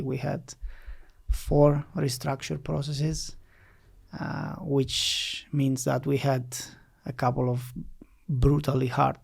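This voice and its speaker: A man from Greece with a Greek accent, answering as a podcast guest in a halting way with frequent fillers. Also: a little high, creaky, a little mellow. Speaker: podcast guest, finance company director. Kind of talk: interview answers recounting a career.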